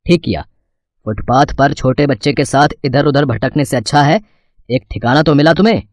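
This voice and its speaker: A man plays a kindly male sweet-shop owner in a cartoon story. He is fair and measured, with a businesslike way of talking and a dry, close sound. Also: medium pitch, no echo, natural voice.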